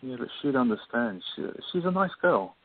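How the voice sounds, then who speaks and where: A man's voice: mid-range voice, big pitch swings, normal speed. A man playing an anxious, devoted boyfriend in an amateur radio play. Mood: doubtful. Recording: thin phone-like audio, distant.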